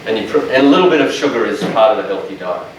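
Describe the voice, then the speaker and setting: A man, a dietitian giving a lecture to health workers in a large room, with a loud, strong voice and a passionate, joking manner. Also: lowish voice, lively intonation, rapid-fire, slight background noise. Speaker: dietitian presenter. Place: large echoey training room. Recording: echoey room, speaker far from mic.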